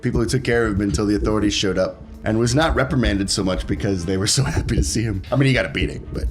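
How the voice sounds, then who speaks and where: A man's voice: lowish voice, racing, music in the background. A man who plays in a tabletop role-playing podcast, chatting casually about his character. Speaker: podcast cast member, tabletop RPG player. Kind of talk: casual chat, describing his game character.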